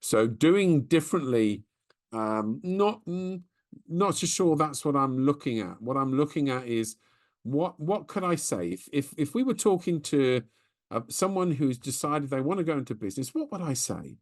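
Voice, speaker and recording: A man co-hosting a business podcast in relaxed chat, thinking aloud as he speaks. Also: on the high side, moderate pace. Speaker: podcast co-host.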